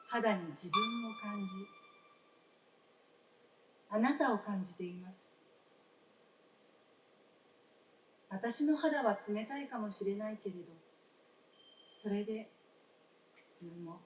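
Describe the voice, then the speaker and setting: A woman playing a frank, gentle wife in a radio drama, speaking in polite, old-fashioned feminine phrasing. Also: mid-range voice, lightly acted. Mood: earnest, wistful. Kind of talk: staged radio drama acting. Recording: roomy stage, distant mic, faint noise.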